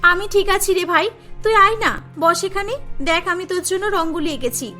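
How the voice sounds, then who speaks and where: A woman voicing a humble, gentle young sister in a cartoon story, sweet and earnest, with music behind. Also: very high-pitched, fairly quick. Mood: affectionate.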